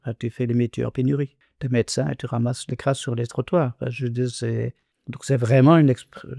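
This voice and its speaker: An older man in his sixties talks in a halting, earnest way, with frequent restarts and hesitations. His voice is mellow and warm at a middle pitch, recorded close and dry with no room sound. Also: no room sound. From Congo. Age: 60s. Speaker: interviewee. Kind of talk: reflective conversational testimony.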